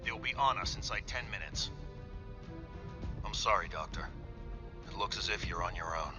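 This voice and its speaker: A man playing a duty-bound warship captain, commanding and formal, in an animated sci-fi film. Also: low-pitched, moderate pace, music in the background. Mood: grave, commanding. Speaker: ship's captain.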